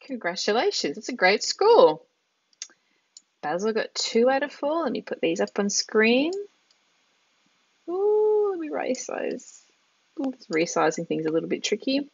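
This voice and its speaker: A woman's voice: a little high, very animated, vocal fry, slightly rough, no room sound. Australian woman in her thirties, upbeat online language teacher on a headset, dry, dull stream sound. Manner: encouraging, chatty, drawn-out careful pronunciation. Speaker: Italian teacher. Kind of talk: live online lesson.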